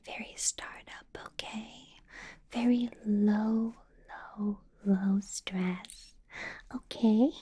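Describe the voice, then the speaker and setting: A woman playing a doting, possessive female vampire boss in a whispered ASMR roleplay, breathy, coaxing and intimate. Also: mid-range voice, warm tone. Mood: possessive, reassuring. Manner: slow, coaxing, intimate whisper with murmured hums.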